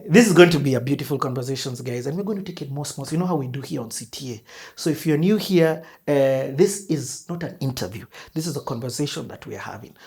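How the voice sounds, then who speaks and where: Hype-building male podcast host. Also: fairly high pitch. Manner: hype-building, crowd-rallying delivery with loud surges. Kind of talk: energetic show introduction and conversation. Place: guest's home.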